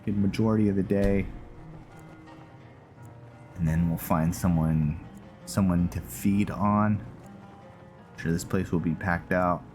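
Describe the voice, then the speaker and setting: A man's voice: deep voice, steady tone, unhurried, pauses often, a little mellow. A man giving casual, laid-back commentary as he roleplays through a video game, musing aloud and chatting to viewers. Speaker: gaming video host. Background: game music.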